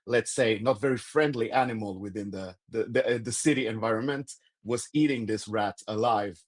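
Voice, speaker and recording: A man with a Swedish accent, a native Swedish speaker, chatting as a podcast guest and pausing often to search for words. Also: on the low side. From Sweden. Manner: halting, repeats words while searching for English phrasing. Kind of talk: casual conversation.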